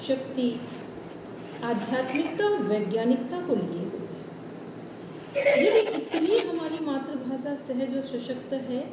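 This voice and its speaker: A woman, a college teacher invited as guest speaker, giving a formal, flowing speech at an online event. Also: high voice. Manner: formal, flowing, lists many words in a row. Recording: echoey room from a distance, noisy.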